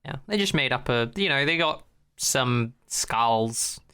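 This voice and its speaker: A man's voice: medium pitch, lively intonation, a little slow, pushed and bright, smooth. A young man co-hosting a podcast, blunt and sarcastic, swearing casually as he pokes fun at the show. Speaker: podcast co-host. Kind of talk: casual chat.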